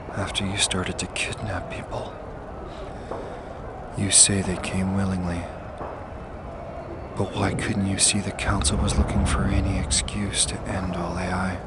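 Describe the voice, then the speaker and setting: A man's voice: low-pitched, very noisy. An actor playing a defiant, anguished male commander in a sci-fi audio drama.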